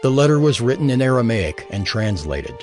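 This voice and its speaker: A man narrates scripture in a solemn, expressive way. His pitch moves a lot as he reads. Soft music plays beneath his voice. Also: a little fast.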